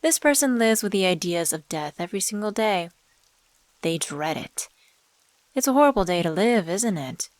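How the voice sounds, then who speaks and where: A woman voicing an enigmatic supernatural dealmaker in an ASMR audio drama, coaxing and teasing in a deliberate, smooth-talking way. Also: medium pitch, lively intonation, dry recording, natural voice. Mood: persuasive. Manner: coaxing, teasing, deliberate, calls listener sweetheart. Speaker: voice actor in a scripted audio drama.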